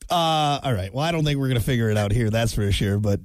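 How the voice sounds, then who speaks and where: A young man with a gravelly, scratchy voice, co-hosting a morning radio show in casual, joking banter and laughing often. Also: medium pitch, quick pace, no room sound, clean studio sound. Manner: jokey, animated, laughs often.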